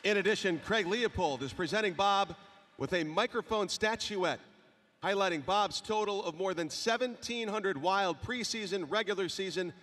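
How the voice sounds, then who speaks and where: A man's voice: high voice, moderate pace, fairly bright tone. A man making a formal, scripted ceremony announcement as an arena announcer, projecting over the public address. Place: large indoor ice hockey arena. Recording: echoing arena PA with clear crowd ambience. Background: arena ambience and noise.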